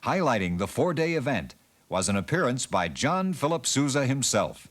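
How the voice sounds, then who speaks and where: A man narrating a documentary in a measured, storytelling delivery. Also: medium pitch, sing-song.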